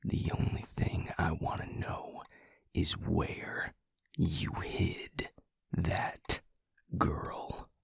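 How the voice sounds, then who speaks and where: A man acting a grim, protective vampire in an audio drama, terse and angry in a gravelly, breathy near-whisper. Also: low voice, level tone, very slow, no room sound, full character voice. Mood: seething, impatient. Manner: terse, growling, restrained anger.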